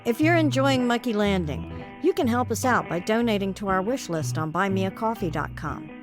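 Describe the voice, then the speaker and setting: A woman reads scripted end-of-show announcements as a podcast's creator. Her pitch is medium, and guitar music plays clearly behind her. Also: expressive, brisk.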